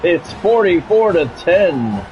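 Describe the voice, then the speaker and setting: A man's voice: high-pitched, very animated, slow pace, lo-fi sound, soft background music. A middle-aged American man streaming a sports video game, mixing casual chat with punchy announcer-style play-by-play. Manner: conversational, switching into punchy announcer-style calls.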